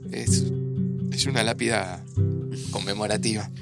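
A man's voice: fairly low pitch, fairly even intonation, a little slow, gravelly, loud music behind the voice. An actor playing an earnest, hesitant man in his thirties, hurt and grieving, with a Rioplatense Argentine accent, in an audio drama. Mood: grieving, gentle. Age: 30s. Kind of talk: naturalistic audio drama acting.

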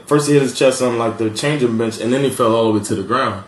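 An American man from Miami with a fairly high voice, telling stories in a casual podcast chat, laughing often and swearing freely. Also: fast talker, roomy sound, a little hiss or hum. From Miami, USA. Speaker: podcast co-host.

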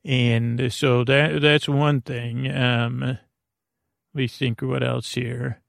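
A man hosting a bedtime podcast in a creaky voice, meandering and halting as he loses his thread and circles back. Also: mid-range voice, flat delivery, dry recording, clean studio sound. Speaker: podcast host. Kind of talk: rambling bedtime podcast monologue.

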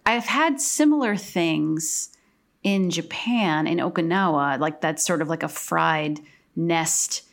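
Woman, very low voice, podcast co-host, dry, laid-back, casual chat. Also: slow pace, gravelly. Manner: dry, laid-back, lots of quiet agreement sounds.

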